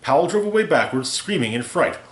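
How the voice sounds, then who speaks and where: A man reading a rhyming holiday parody aloud as a storyteller, with theatrical, deliberate delivery. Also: a little high, very animated. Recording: roomy, echoey home recording.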